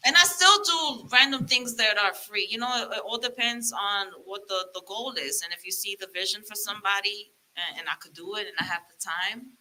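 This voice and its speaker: A woman's voice: mid-range voice, a little fast, hardly any pauses, low-quality recording. A Dominican woman, a comedian and rapper, chatting animatedly as a guest and slipping into Spanglish. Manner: animated, laughing, mixes Spanglish. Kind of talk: casual interview chat.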